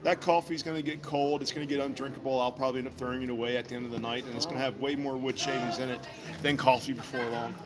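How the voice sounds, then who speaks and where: A man talks about woodworking at a vendor table, folksy and friendly, with an everyday middle pitch. Loud crowd chatter from a busy indoor mall fills the background around his voice. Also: little pitch movement, fast. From Virginia. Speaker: woodworker demonstrating his craft to customers. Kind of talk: casual demonstration chat.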